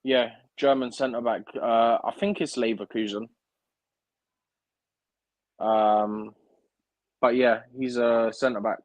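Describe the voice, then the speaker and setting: A man's voice: mid-range voice, steady tone, slow, long pauses, no room sound. Guy co-hosting a football stream, laid back, dry close mic. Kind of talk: casual live-stream chat.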